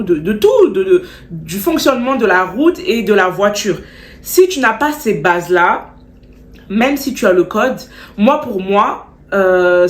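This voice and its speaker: A woman's voice: mid-range voice, echoey room. A young woman vlogging in a casual, slangy way, a bit stuffy from a cold, repeating words for emphasis as she gives advice. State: stuffy, still has a cold. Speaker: beauty vlogger. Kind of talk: chatty storytime vlog with advice.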